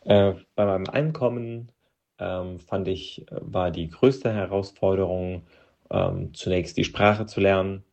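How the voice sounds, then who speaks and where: A man from Moldova whose first language is Russian, an interview guest talking hesitantly with many pauses and restarts. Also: deep voice, fairly even intonation, gravelly, a little mellow, muffled recording. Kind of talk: personal interview account.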